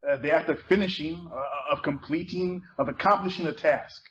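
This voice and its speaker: A man reads a first-person narrative earnestly, pausing between phrases. His voice is fairly high for a male. The live recording sounds muffled, with light noise. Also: fairly bright tone. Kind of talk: dramatic first-person reading from a book.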